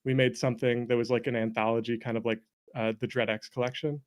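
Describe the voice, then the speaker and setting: A man's voice: medium pitch, level tone, quick pace. Jokey, self-deprecating man chatting casually. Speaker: interview guest; indie game developer and streamer. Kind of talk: casual chat.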